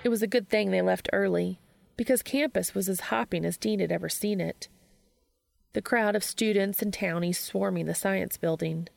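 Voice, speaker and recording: A woman's voice: mid-range voice, moderate pace, vocal fry, darkish tone, soft background music. A woman narrating a fiction audiobook, reading scripted prose.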